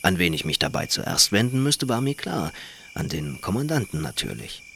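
A principled, formal gentleman traveller played by an actor in a radio play. His deep voice moves expressively yet stays measured and resolute. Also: sing-song, creaky, slight background noise, full character voice. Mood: firm, composed. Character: reserved, principled male foreign traveller, calmly firm. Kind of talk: German radio play acting.

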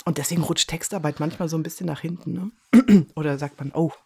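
A woman's voice: deep voice, brisk, warm tone, slightly rough. A woman speaking casually as an interview guest, chatting about her work as a director. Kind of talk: casual chat.

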